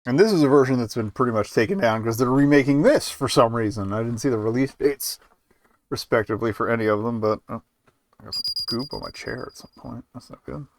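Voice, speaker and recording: A man's voice: mid-range voice, brisk, a little hiss or hum. A man giving casual live game commentary as a streamer, rambling and hesitant, with muttered asides.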